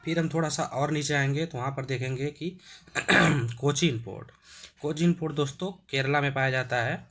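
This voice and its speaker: A man's voice: mid-range voice, moderate pace, fairly bright tone. Man teaching with a sore throat. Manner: hesitant, many "uh" fillers, clears throat often. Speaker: exam-prep teacher. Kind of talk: explaining a map lesson.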